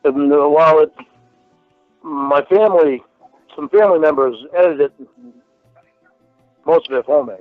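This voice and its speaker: A man with a stutter talking casually in a halting, defensive way. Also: a little high, no room sound. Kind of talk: casual phone conversation. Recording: tinny phone-like line, music behind.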